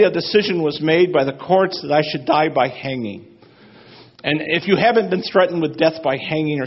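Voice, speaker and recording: A man with a slightly high, husky voice delivers a sermon testimony. He drops to a hush for grave moments and rises into excited bursts. The recording sounds dull and lo-fi. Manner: dramatic, swings between hushed and excited. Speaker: preacher.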